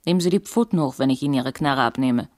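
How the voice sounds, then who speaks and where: A woman's voice: rumbling low, animated, fast talker, darkish tone. A woman playing a young, brazen female gangster in a German radio crime drama, giving snappy, taunting, slangy commands. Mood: mocking, commanding.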